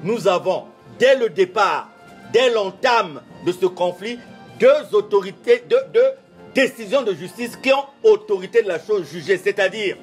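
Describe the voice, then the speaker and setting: Measured, oratorical man, high voice. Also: animated, faint music behind. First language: not French. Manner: measured, repetitive, oratorical emphasis. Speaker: church official giving an interview. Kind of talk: formal interview statement.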